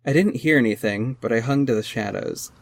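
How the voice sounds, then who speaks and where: A man's voice: medium pitch, a little hiss or hum, natural voice. Guarded, sardonic male vigilante, played by an actor. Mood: wry, brooding. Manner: measured, terse, exasperated. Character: guarded, sardonic costumed vigilante, heartbroken and stubborn. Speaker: narrator and lead actor. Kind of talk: first-person audio drama narration and dialogue.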